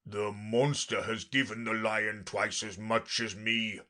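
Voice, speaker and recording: A man voicing a dreamy, haughty, boastful unicorn from a storybook in a drawn-out, grand manner. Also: on the low side, flat delivery, pushed and bright. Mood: indignant. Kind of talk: storybook character reading.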